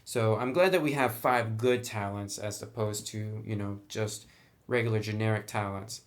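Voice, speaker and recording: A man's voice: fairly low pitch, moderate pace. A man talking through a casual, explanatory review in a conversational way, with dry, wry asides. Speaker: tabletop game book reviewer.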